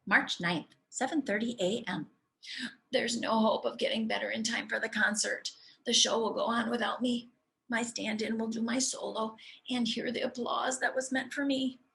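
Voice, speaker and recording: A woman teacher reading a children's storybook aloud expressively, speaking to young listeners in an animated, demonstrative way. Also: fairly high pitch, moderate pace, creaky, poor audio.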